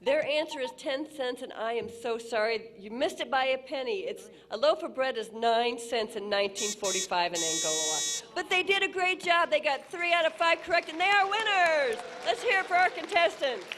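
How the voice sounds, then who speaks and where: A woman playing a gracious host of a 1940s radio quiz show, recorded with clear background noise. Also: sits high, expressive, moderate pace, hardly any pauses. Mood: upbeat, gracious. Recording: clearly noisy live stage recording.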